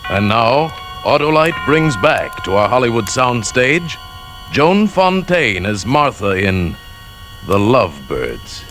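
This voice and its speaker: A man announcing a vintage radio thriller in a deliberate, dramatic scripted style. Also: medium pitch, very animated. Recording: vintage radio broadcast with loud music.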